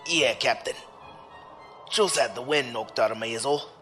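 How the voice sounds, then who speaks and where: A man's voice: medium pitch, normal speed, sharp tone, faint music behind, natural voice. A man playing a wry, casual starship crewman in a sci-fi audio drama. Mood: winded banter.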